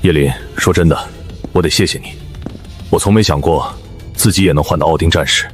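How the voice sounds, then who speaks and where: An actor playing a brash, loyal, foul-mouthed fighter with a coarse, blustering, blunt manner in an animated web drama. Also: male voice, a bass voice, brisk. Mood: grateful, earnest. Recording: music behind the voice.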